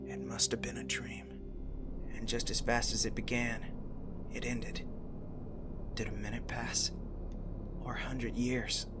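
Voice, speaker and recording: A man's voice: medium pitch, lightly acted. A man playing an earnest, newly awakened engineered angel soldier in a visual novel game. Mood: brooding. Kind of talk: visual novel voice acting, inner monologue and dialogue.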